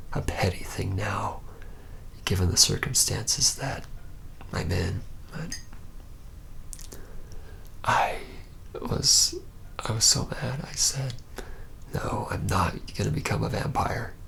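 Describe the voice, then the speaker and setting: Guy doing a jaded young vampire asmr thing, super gravelly half whisper, hesitant and deadpan. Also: fairly low pitch, full character voice. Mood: resigned, grumbling. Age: young adult. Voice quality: breathy whisper with gravelly creak. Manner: hesitant, halting, wry and deadpan. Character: reluctant, jaded young male vampire, former warehouse worker. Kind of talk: whispered ASMR roleplay monologue.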